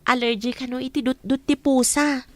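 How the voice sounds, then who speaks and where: A woman's voice: sits high, steady tone, normal speed, no echo, close to an everyday voice. A woman playing a humble, polite young housemaid who is an orphan, in a radio drama. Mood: wondering. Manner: polite, deferential. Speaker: new housemaid.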